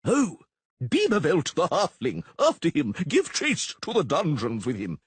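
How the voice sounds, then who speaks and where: A man's voice: huge pitch swings, unhurried, breathy edge, dry recording, exaggerated voice. A man voicing a skinny, pompous male town official who crows and bellows. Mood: indignant. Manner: crowing, shrill bellowing.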